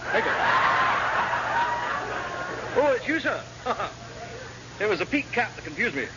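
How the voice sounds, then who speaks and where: A chatty, hopelessly vague British naval engineer in vintage radio comedy. The delivery is quick and highish, full of courteous waffle. The recording is muffled and very noisy. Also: male voice, sing-song. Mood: blithe, chatty. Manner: rambling, over-polite, blithely vague. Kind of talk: classic radio sitcom acting.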